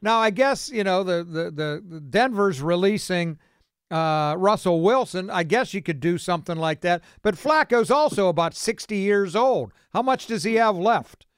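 A man's voice: high-pitched, lively intonation, moderate pace, smooth, dry recording, clean studio sound. An American man hosting a casual sports call-in radio show. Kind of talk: casual sports talk with callers.

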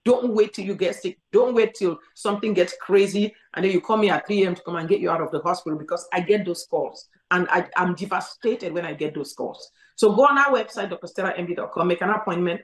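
A woman's voice: on the low side, fairly quick. Insistent woman, echoey room. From Cameroon. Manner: emphatic, insistent, repeats her urgings. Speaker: doctor answering callers. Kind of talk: call-in advice.

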